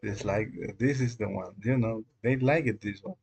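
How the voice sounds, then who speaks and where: A man whose first language is Spanish, chatting casually on a live coding stream in a rambling, halting way, with many fillers and small chuckles. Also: lowish voice, creaky, a little mellow, husky. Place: dark room at home.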